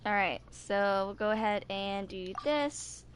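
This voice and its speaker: A woman in her twenties chatting casually and haltingly to herself during gameplay, with game music behind her. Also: mid-range voice, background noise. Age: 20s. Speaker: gaming video creator. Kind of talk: casual off-the-cuff gameplay chat.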